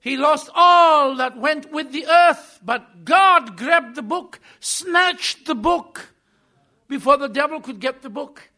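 Visiting preacher guy, really high bright voice, booms then goes quiet. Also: slow pace. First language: not English. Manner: emphatic, swings between loud bursts and quiet asides. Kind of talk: sermon.

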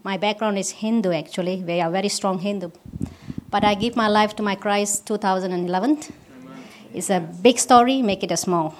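A woman from Malaysia, giving a personal testimony at a church service in halting English with restarts. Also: medium pitch, slight background noise. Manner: halting, with restarts and simple non-native grammar.

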